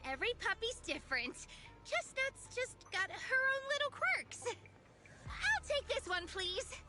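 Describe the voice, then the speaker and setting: A woman voicing a cute, gentle young dog lover in an anime video game, musing hesitantly. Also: very high-pitched, very animated, a little slow, over music, full character voice. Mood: sweet, pleased.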